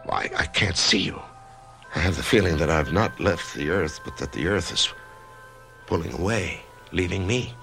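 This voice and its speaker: An actor playing an author who narrates his own death in a whispery, hushed voice, stammering with wonder, in a radio drama. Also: deep voice, expressive, normal speed, gravelly, soft background music, full character voice. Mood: awed. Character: dying male author, awed, curious. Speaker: narrator and lead.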